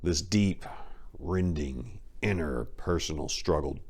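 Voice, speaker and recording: Deep-voiced man narrating crime fiction. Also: takes it slowly, a little mellow, husky. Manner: theatrical, sardonic asides. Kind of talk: dramatic reading of a crime story.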